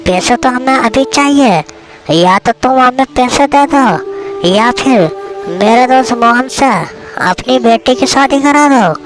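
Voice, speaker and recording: A woman's voice: high-pitched, lightly acted, low-quality recording. A woman voicing a pushy male henchman of a village moneylender in a cartoon story. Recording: music behind the voice.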